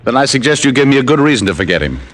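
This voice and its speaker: A man's voice: medium pitch, sing-song, racing, a bit bright. A man as a wisecracking private detective who narrates and talks in a 1950s radio drama. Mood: wry. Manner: wry, wisecracking, hard-boiled. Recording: vintage radio broadcast with music.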